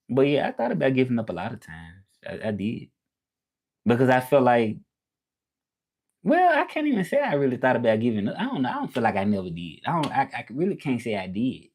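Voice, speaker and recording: A man's voice: mid-range voice, darkish tone. Rambling Southern US man chatting live. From Southern United States. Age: 30s. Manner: rambling, repeats himself, reads out and answers chat. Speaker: livestream host. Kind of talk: casual livestream chat with viewers.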